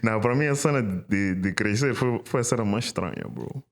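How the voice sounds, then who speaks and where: Deep-voiced young man chatting. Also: fast. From Mozambique. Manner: informal and slangy. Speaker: podcast host. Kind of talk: casual chat, switching between languages.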